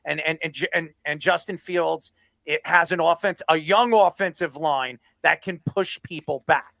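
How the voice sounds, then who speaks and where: A man with a high, gravelly voice hosts a sports talk show. He argues his points emphatically, circling back over his words, in a close, dry sound. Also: moderate pace, pushed and bright, dry recording. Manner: emphatic, repeats himself. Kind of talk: animated sports debate.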